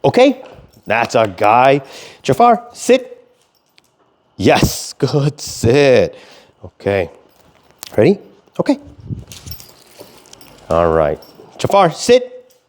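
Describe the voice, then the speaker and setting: A man giving an instructional talk as a dog trainer, explaining things and using clear, short commands and praise. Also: medium pitch, wildly swooping pitch, very slow, long pauses, darkish tone.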